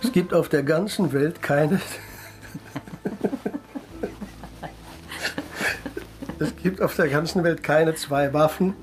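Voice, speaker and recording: An actor playing a matter-of-fact investigator in a radio crime drama, explaining in a sober, precise way. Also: male voice, on the high side, very slow, pauses often.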